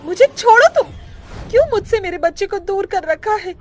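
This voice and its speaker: Woman as a high-pitched, distraught village mother. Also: lively intonation, moderate pace, darkish tone, faint music behind. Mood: frantic, demanding. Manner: tearful, wailing, pleading. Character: distraught village mother searching for her missing little son. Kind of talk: Hindi animated story melodrama.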